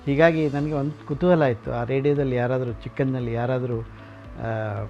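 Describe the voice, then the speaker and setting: A middle-aged man gives an informal, explanatory guided talk as a collector, mixing in English words. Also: medium pitch. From Karnataka, India. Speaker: radio collector and museum founder. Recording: dull lo-fi sound, music behind. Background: music.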